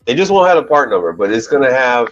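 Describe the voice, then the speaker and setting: A man in his forties talks at an easy, ordinary pitch, rambling through a casual live chat with plenty of restarts and mild curses. The sound is dull and lo-fi. Faint music plays underneath. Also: big pitch swings, quick pace. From United States. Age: 40s. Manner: rambling, casual, swears lightly, restarts often. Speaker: commercial appliance repair technician and channel host.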